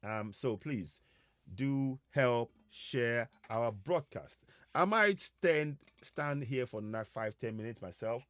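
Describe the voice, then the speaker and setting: Slow, halting man hosting. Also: medium pitch, expressive, a bit bright, no echo. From Yorubaland, West Africa. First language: Yoruba. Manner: halting, repetitive, with many hesitations. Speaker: host of an online broadcast. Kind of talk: live monologue to viewers, advocacy talk.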